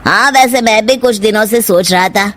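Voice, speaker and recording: A woman's voice: medium pitch, normal speed, fairly bright tone, natural voice. A woman voicing a meek, hesitant young husband in a cartoon story.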